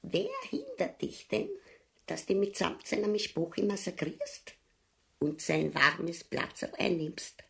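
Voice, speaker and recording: A coarse, conniving wife in a radio farce, goading in broad Austrian dialect. Her creaky voice is very lively in pitch, earthy and mocking as it wheedles. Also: slow pace, heavily acted. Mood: scornful. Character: coarse, scheming, nagging wife goading her foolish husband. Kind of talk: farcical radio play acting in broad dialect.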